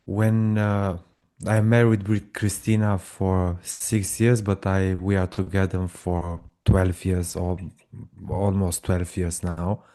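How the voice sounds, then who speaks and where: Slow, even-toned man with Romanian accent. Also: low voice. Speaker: guest, breathwork practitioner. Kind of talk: personal storytelling in an interview.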